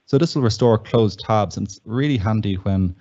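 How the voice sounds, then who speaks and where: A man's voice: fairly low pitch, moderate pace, dark tone, muffled recording. A man presenting tech tips as a tutorial, explaining plainly and methodically, step by step.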